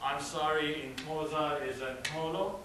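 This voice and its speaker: A man's voice: on the high side, unhurried, background noise. South African man presenting in an echoey hall. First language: Afrikaans. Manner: relaxed, hesitant with frequent uh fillers. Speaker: student presenter. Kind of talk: slideshow presentation to an audience. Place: event hall. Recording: roomy hall, mic at a distance.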